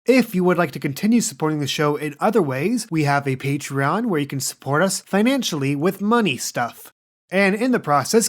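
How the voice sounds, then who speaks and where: A man hosting a tabletop roleplay podcast as game master, reading plugs and narrating in a laid-back, joking way. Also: a little high.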